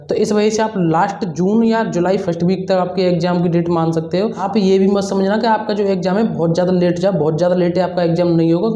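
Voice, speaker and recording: A man's voice: sits high, warm tone. Man in an echoey room. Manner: repetitive, explanatory, advising. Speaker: exam-prep advice presenter. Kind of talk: informal instructional talk to students. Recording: roomy echo, dull lo-fi sound.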